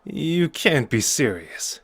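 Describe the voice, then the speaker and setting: A man's voice: a little high, very animated, slow, breathy edge, dry recording, heavily acted. A man playing a cocky, protective sorcerer and sarcastic rival in an anime audio roleplay, informal and sardonic with an earnest core. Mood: scornful.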